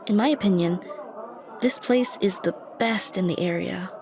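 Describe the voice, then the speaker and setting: Cafe chatter surrounds a shy, dorky young woman, played by a female voice actor. She speaks straight to the listener in an earnest, self-conscious ramble, hesitating as she goes. It is an intimate ASMR roleplay at a middling pitch. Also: moderate pace, gravelly, a little mellow, close to an everyday voice. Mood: friendly, timid. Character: shy, dorky young woman, a friendly coffee shop regular. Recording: busy cafe chatter behind the voice. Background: coffee shop crowd ambience.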